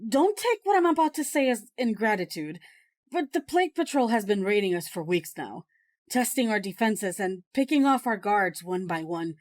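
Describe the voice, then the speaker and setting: An actress playing an earnest, guarded villager from a besieged town in an audio drama. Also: female voice, mid-range voice, lively intonation, normal speed, natural voice. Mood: grave, reproachful.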